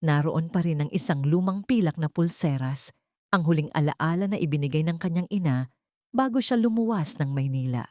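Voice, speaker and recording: A woman narrates a dramatic audiobook story from a script. Her voice is very low for a woman and dark, mellow in tone. The recording is close and very dry. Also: no echo.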